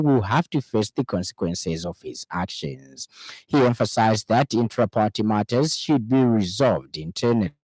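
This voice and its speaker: A man with a Zambian accent reading a scripted radio news bulletin in a formal, measured way. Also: lowish voice, a little mellow, dry recording, rough recording. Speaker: news reader. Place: radio studio.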